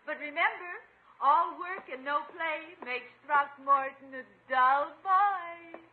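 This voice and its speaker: A woman's voice: high voice, takes it slowly. An actress playing a coy, flirtatious woman with a cooing, teasing manner in a 1950s radio comedy. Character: coy, flirtatious lady visitor. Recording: muffled old radio recording, faint noise.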